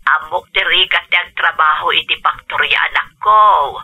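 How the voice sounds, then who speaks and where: A woman acting in a radio drama as a caring, worried elderly mother from the province, with a raspy, creaky voice. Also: low-pitched, normal speed, bright, forceful tone, soft background music, full character voice. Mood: tender concern. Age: older. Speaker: voice actor.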